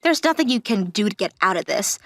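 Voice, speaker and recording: A woman's voice: mid-range voice, expressive, fairly quick, dry recording, studio quality, soft background music, close to an everyday voice. A woman playing a composed, sincere company auditor in a manga dub. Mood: stern.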